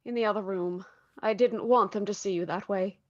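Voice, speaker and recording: An actress playing a frightened, anxious wife in a vintage-style radio drama. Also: female voice, medium pitch, brisk, no echo, dull audio, lightly acted. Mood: worried.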